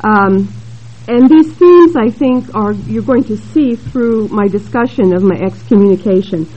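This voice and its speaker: A woman with a loud voice giving a prepared talk at a conference panel, laying out her points deliberately and in order. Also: medium pitch. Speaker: panel speaker. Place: conference room with an audience. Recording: dull lo-fi conference tape with faint hiss.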